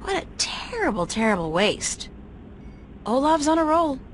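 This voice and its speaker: Game heroine: young, guarded, sarcastic art student, animated and slightly high, noticeable background noise. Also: female voice, a little slow. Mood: wry, musing. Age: young adult. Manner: wry, sarcastic, conversational. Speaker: game protagonist. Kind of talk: video game voice acting. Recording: clearly noisy ambient background.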